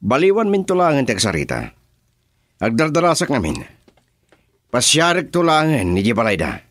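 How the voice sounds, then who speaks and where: A man's voice: mid-range voice, huge pitch swings, moderate pace, fairly bright tone. A man with an Ilocano Filipino accent playing a commanding gang boss in a radio drama, shifting between easy banter and orders. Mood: brisk.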